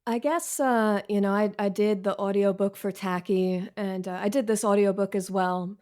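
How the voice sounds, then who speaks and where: A woman's voice: mid-range voice, steady tone, normal speed, darkish tone. A woman chatting casually as an interview guest, candid and wryly self-deprecating. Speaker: guest author and essayist.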